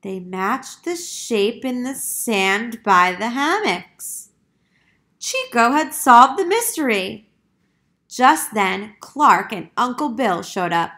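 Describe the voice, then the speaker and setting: A woman reading a picture book aloud to young children in a deliberate, emphatic teacher's manner. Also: on the high side, lively intonation, sharp tone.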